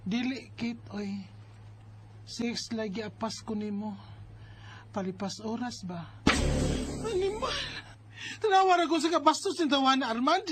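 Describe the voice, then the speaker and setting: A woman's voice: fairly high pitch, expressive, unhurried, long pauses, noisy background. A woman playing a worried, protective older sister with a Filipino accent in a radio drama, emphatic and exasperated. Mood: outraged.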